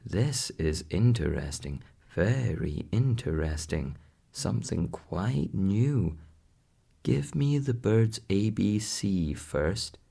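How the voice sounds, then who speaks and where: An eager gentleman doctor played by a man speaks with lively pitch and deep curiosity. The delivery is storybook, unhurried and a little creaky. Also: exaggerated voice. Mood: excited, curious. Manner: excited, curious. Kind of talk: storybook character voice.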